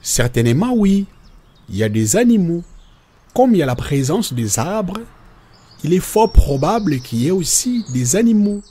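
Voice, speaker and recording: Husky man playing a patient cartoon father. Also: high-pitched, sing-song, normal speed, warm tone, exaggerated voice. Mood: patient, instructive. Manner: didactic, explains definitions step by step. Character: patient, instructive father explaining nature and God to children.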